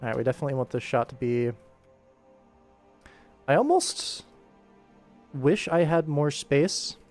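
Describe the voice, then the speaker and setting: A man's voice: on the high side, lively intonation, measured pace, a little mellow, soft background music. A man casually commentating on his animation work stream, thinking aloud and chatting informally with viewers. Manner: informal, rambling to himself and viewers.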